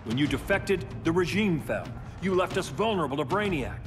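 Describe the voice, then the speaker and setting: Intense embittered fallen hero played by a man, animated. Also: a little high, brisk, over music, natural voice. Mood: angry, accusing. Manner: accusing, intense, dramatic delivery. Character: embittered, righteous fallen male superhero turned tyrant. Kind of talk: dramatic video game cutscene acting.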